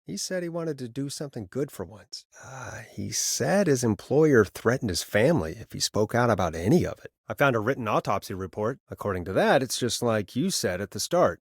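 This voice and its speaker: Casting: uneasy radio host playing detective in a video game, conversational, wry, close dry recording. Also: male voice, medium pitch, very animated, a little fast, few pauses, slightly rough, dry recording. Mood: serious, concerned. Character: male late-night radio DJ turned uneasy amateur sleuth. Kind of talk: naturalistic video game voice acting.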